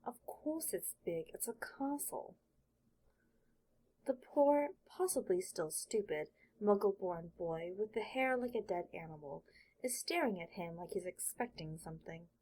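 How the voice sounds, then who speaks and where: A woman's voice: mid-range voice, lively intonation, measured pace, warm tone, rough recording. A woman reading scripted fiction as an audiobook narrator, telling the story in present tense.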